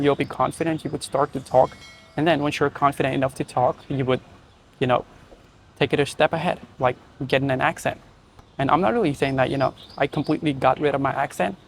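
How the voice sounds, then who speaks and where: A man's voice: fairly high pitch, slight background noise. A young man with an Indian accent, hosting his own channel and talking casually to camera, often checking in with "right?" and "you know". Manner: conversational, frequent "right?" and "you know" fillers. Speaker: channel host.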